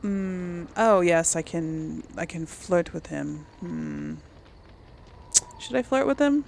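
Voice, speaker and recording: A woman gives casual gameplay commentary, musing aloud as she role plays. She speaks very slowly with noticeable creak. There is faint game noise behind her. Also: lowish voice, sing-song, darkish tone. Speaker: gamer, series host.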